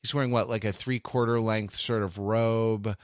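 A man hosting a casual podcast conversation, talking in a halting, rambling way as he thinks aloud. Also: lowish voice, steady tone, a crowd in the background. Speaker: podcast host.

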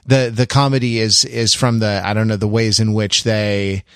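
A man's voice: on the low side, level tone, normal speed, fairly bright tone, clean tone, dry recording, clean studio sound. A middle-aged American man chatting analytically on a podcast, digressing and often searching for his words. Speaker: podcast panelist. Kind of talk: casual analytical chat.

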